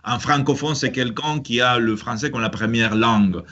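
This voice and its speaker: A man with a Spanish accent and a forceful, bright tone, hosting a relaxed conversation. He talks haltingly, with frequent fillers and restarts. The recording sounds dull and lo-fi. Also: mid-range voice. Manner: hesitant, many fillers and restarts. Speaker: host. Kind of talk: casual conversational chat.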